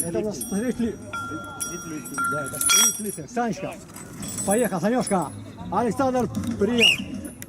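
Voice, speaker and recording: High-voiced man cheering from afar. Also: expressive, unhurried, pauses often, sharp tone. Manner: encouraging, calling out to the lifter.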